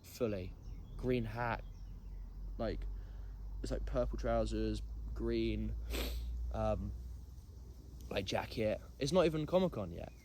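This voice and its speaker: A young man with a stuffy, nasal voice from hay fever talks on his own podcast, wandering from topic to topic with lots of ums. His voice sits fairly low for a man. There is a faint room hum behind him. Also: takes it slowly, pauses often, darkish tone. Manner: rambling, self-deprecating, many ums. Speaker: podcast host. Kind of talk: casual solo podcast chat.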